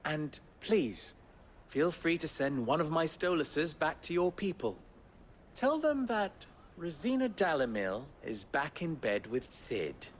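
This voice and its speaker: A man's voice: a little high, sing-song, slow, a little hiss or hum. A man playing a suave, wry underworld fixer in a video game cutscene, with arch, formal phrasing. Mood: genial, teasing.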